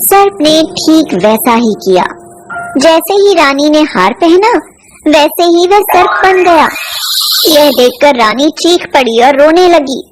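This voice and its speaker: A woman's voice: sits high, lively intonation, slow pace, over music, low-quality recording. A woman narrating a scripted cartoon folk tale as a storyteller.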